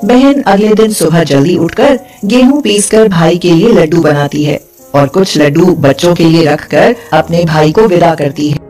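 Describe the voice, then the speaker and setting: A woman's voice: on the low side, moderate pace, warm tone, soft background music. A woman narrating a scripted folk tale as a storyteller.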